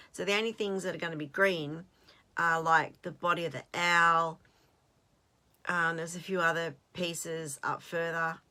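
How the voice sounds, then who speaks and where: Australian woman in her late fifties, host, casual rambling chat, bright forward tone, pitched a little low. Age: 50s. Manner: chatty, rambling, self-deprecating with fillers and restarts.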